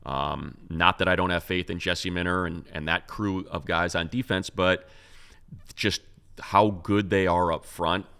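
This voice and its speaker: A man co-hosting a casual sports talk show, breaking down a game in a rambling, animated way with many restarts. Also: low-pitched, a little slow, vocal fry, clear, resonant tone. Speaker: radio play-by-play announcer, co-host.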